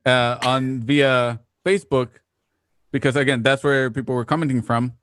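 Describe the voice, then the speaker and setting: A man's voice: medium pitch, little pitch movement, normal speed, a bit bright, no echo, clean studio sound. A man chatting casually and good-humoredly in a group conversation.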